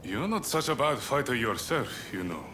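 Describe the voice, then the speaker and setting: A man playing a relaxed, roguish gambling samurai in a video game cutscene, casual and teasing. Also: medium pitch, moderate pace, background noise, lightly acted.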